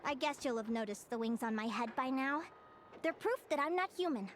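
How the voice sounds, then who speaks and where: A woman's voice: high voice, animated, a little fast, a bit bright, a little hiss or hum. A woman voicing a cheerful, friendly young winged changeling girl in a video game. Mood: gentle, candid. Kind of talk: English video game voice acting.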